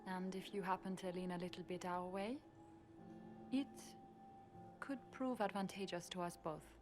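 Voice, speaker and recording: An actress playing a shrewd, sly elven ambassador in a fantasy game, speaking in a measured, insinuating way. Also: female voice, on the low side, fairly even intonation, natural voice. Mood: sly, persuasive. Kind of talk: dramatic game dialogue. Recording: music behind the voice.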